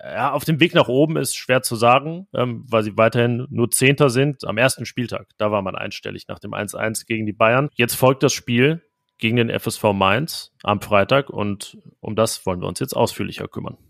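A man's voice: mid-range voice, moderate pace, vocal fry, a bit bright, no room sound, clean studio sound. A man hosting a football podcast, moderating in casual chat and putting questions to his guests. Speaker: podcast host.

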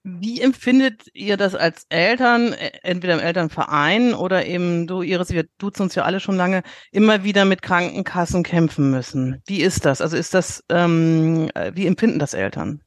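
A woman hosting a panel discussion, asking questions in a halting way with frequent restarts. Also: fairly low pitch, dry recording, clean studio sound. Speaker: host.

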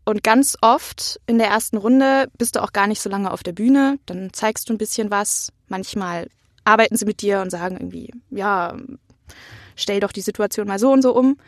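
A woman's voice: medium pitch, moderate pace, no echo, clean studio sound. A young woman chatting casually as a podcast host being interviewed, nervous and a little giggly. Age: young adult.

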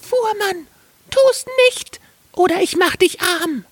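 A man's voice: extremely high pitch, animated, takes it slowly, fairly bright tone, no echo, voice pushed up, exaggerated voice. A man voicing a small, vengeful, taunting sparrow in a fairy tale. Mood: warning.

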